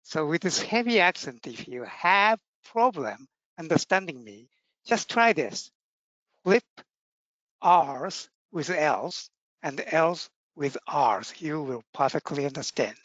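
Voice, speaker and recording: A man from Japan with a Japanese accent, giving a webinar talk in a halting, self-deprecating way with many fillers. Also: fairly high pitch, expressive, a bit bright, no echo. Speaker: neurologist presenter. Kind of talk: webinar presentation. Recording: muffled dry webinar audio.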